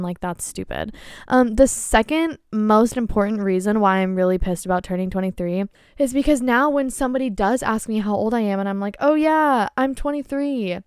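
An excitable young woman in her twenties talks through her solo podcast, candid and quick to swear. Her voice is smooth and clear, recorded dry and close with no room around it. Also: on the high side, no room sound. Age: 20s. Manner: excitable, candid, swears casually, frequent laughs and fillers. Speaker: podcast host. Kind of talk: casual solo chat.

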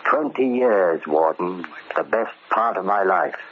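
An actor playing a bitter, stubborn middle-aged convict with an American accent and a grim, deliberate delivery, in old-time radio drama style. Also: male voice, low voice, animated. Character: bitter, resolute 44-year-old convict bent on settling a debt. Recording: dull, noisy old radio recording.

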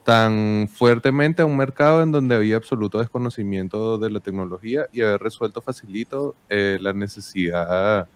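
A man hosts a relaxed interview with a fairly low voice. He talks at length and asks long, winding questions. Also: normal speed, hardly any pauses, smooth, no echo. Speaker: interviewer, host. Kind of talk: casual interview.

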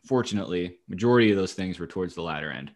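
A man with a fairly low voice hosts a casual sports talk show. He chats in a wry, dry way and swears freely as he gives his commentary. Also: expressive, very fast. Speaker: sports podcast host.

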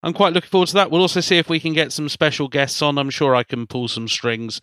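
A man's voice: on the high side, fairly even intonation, fast talker, a bit bright, smooth, no echo. A man hosting a podcast in casual chat, rambling and often repeating words as he restarts his sentences. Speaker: podcast host.

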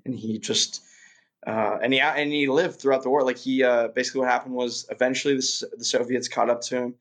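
A man's voice: mid-range voice, little pitch movement, brisk, fairly bright tone. Young man rambling with many fillers. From Russia. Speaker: guest. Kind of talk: casual interview chat.

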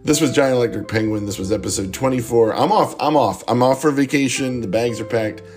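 A man's voice: medium pitch, brisk, a little mellow, faint music behind. A middle-aged man hosting a podcast, losing his voice, rambling through a joking, self-mocking sign-off with frequent laughs. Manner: jokey, laughs often, self-deprecating. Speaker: podcast host. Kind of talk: casual rambling podcast outro.

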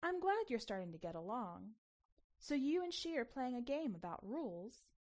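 A woman voicing an anxious mother who coaxes her child with expressive exasperation, in a storybook reading. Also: a little high, normal speed, pitched above the performer's own voice. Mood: encouraging.

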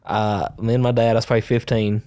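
A laid-back man co-hosts a podcast and tells stories in a dry, understated way. His voice stays flat in pitch and sounds smooth. The recording is close and dry. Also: quick pace, no room sound. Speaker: podcast co-host. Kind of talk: casual podcast chat, storytelling.

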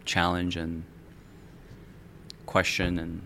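A man's voice: sits low, completely flat delivery, slow, vocal fry, sharp tone, slight background noise. A man hosting an interview, asking casual questions and affirming often. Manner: affirming, many yeahs and fillers. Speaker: podcast host.

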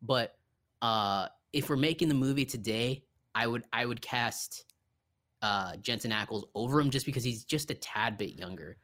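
A man's voice: mid-range voice, moderate pace, fairly bright tone. A man co-hosting a podcast, chatting casually and enthusiastically about films, with some loose swearing. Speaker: podcast co-host. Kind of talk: casual film chat.

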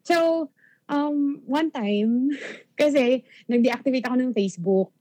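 A woman's voice: high voice, measured pace, dark tone, no echo. A woman in her early thirties with a Filipino accent, chatting casually and agreeably as a caller to a show. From Philippines. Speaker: caller to a podcast. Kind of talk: casual Taglish chat.

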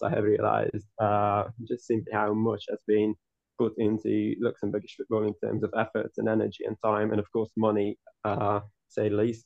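Gravelly man, dull sound. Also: lowish voice, darkish tone, no echo. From Hungary. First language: Hungarian. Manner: earnest, long winding answers with many restarts. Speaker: podcast guest, football writer. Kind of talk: casual interview answers.

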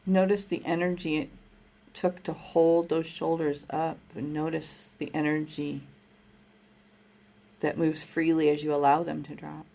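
Lady leading a breathing meditation, low flat voice with some fry, real slow and calm. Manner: slow, soothing and gentle. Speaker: meditation guide.

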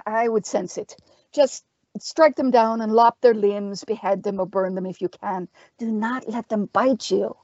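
A woman's voice: mid-range voice, a little slow, a little mellow, no room sound, dull audio, lightly acted. A woman voicing a haughty, scornful witch in a fantasy story, snapping and imperious. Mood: tense, controlled. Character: sharp-tongued, haughty female witch, scornful and fierce. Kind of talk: fantasy audiobook character.

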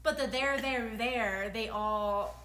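A woman's voice: a little high, slow, a crowd in the background. A woman whose first language is Thai, chatting casually and emphatically as a podcast co-host. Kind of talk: casual chat. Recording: echoey room.

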